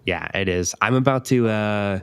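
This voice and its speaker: A co-host on a casual online music radio chat, relaxed and agreeable. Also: sits low, normal speed, a little hiss or hum.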